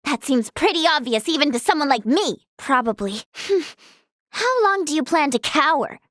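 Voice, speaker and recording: A woman's voice: sits high, very animated, normal speed, a bit bright, no echo, studio quality. A woman playing an earnest, caring young female healer and researcher in a video game, with a hesitant, exclamatory way of talking. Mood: thoughtful, matter-of-fact. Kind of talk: English video game voice acting.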